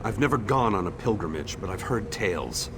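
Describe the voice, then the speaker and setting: Defiant, embittered younger man, acted by a man: low register, very mobile intonation, blunt, fantasy game. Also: animated, brisk, background noise, lightly acted. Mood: sardonic. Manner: blunt, scornful. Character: bitter, defiant younger man, determined skeptic. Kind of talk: AI-voiced fantasy game dialogue.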